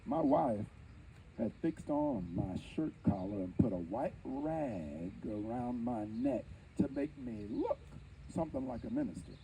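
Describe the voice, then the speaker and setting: A man playing a dignified, wry nineteenth-century preacher, telling his story in emphatic theatrical oratory with deliberate dramatic pauses. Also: medium pitch, wildly swooping pitch, vocal fry, dark tone, a little hiss or hum, heavily acted, rough recording. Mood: earnest, proud. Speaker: live history interpreter. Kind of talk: theatrical first-person oratory before an audience.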